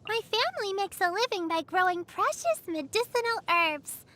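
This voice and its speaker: A woman's voice: very high voice, sing-song, normal speed, pushed and bright, exaggerated voice. A woman voicing a sweet, earnest little girl in an anime game, caring, innocent and chatty. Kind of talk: anime game character voicelines. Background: background music.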